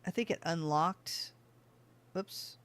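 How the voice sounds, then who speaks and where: A let's-play host talks through a mining game in easy, chatty commentary. They think aloud, ask themselves questions and answer them. The pitch sits in a plain middle range. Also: takes it slowly. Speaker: gaming channel host.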